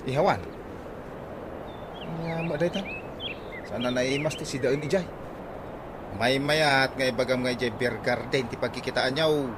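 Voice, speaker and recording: A man's voice: medium pitch, moderate pace, pauses often, close to an everyday voice. A man with an Ilocano accent playing an easygoing husband in a radio comedy drama. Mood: casual. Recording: noisy radio drama with nature ambience.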